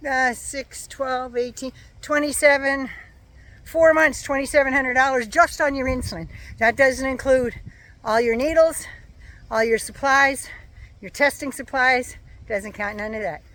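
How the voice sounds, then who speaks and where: High-pitched lady vlogger, kinda hoarse, sarcastic and swearing a lot. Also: fairly even intonation, a little slow, a bit bright, no echo. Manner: sarcastic, profane, exasperated. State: hoarse, losing her voice. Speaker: political commentary vlogger. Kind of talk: casual ranting monologue.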